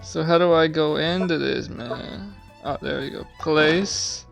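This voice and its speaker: A man's voice: fairly high pitch, measured pace, gravelly, soft background music. A young man giving casual gameplay commentary in a slangy, offhand way with some swearing. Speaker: gamer playing on camera or stream.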